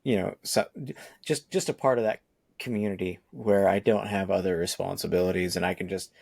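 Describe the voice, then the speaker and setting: A man in his forties with a low, gravelly voice, talking casually on a podcast. He rambles through long thoughts, circling back and restarting as he goes. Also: steady tone, brisk, a little mellow. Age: 40s. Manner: rambling, restarts often, laughs easily. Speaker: podcast co-host. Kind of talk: casual chat.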